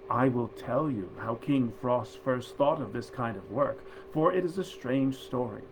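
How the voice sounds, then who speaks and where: A man's voice: mid-range voice, a little slow, noisy background. A man hosting a literary reading, speaking as a presenter and storyteller of old tales. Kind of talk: informal introduction, then storybook reading aloud.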